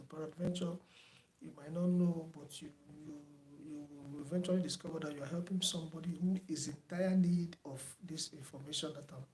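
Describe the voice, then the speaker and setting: Soft-spoken male tutor, slightly high, unhurried and repetitive, echoey room with faint background noise. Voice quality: soft-spoken, says he does not shout. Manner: unhurried, many fillers, repeats himself. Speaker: online driving theory tutor. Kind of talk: closing remarks to a live online class.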